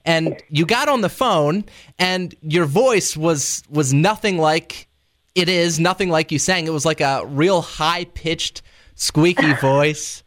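A man's voice: a little high, takes it slowly, a bit bright. A man hosting an interview, talking casually and rambling with many hesitations and chuckles. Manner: rambling, hesitant, laughing asides. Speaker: radio interviewer.

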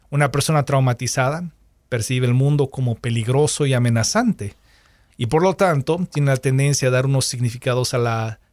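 A man's voice: medium pitch, darkish tone, no room sound. Bilingual male interview host, dry sound. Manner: switches between Spanish and English, repeats questions in both.

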